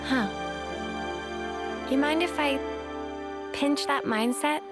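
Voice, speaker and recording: A woman's voice: high-pitched, slow pace, loud music behind the voice. An actress playing a timid, sweet, self-doubting young woman in a video game, hesitant and full of wonder. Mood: softly admiring. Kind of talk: video game cutscene acting.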